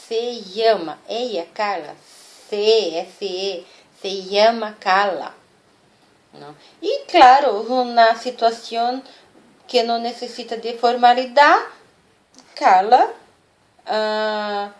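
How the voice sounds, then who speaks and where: A woman with a Brazilian accent, a native Portuguese speaker, teaching an informal language lesson, repeating and stressing key words and often checking in with short questions. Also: mid-range voice.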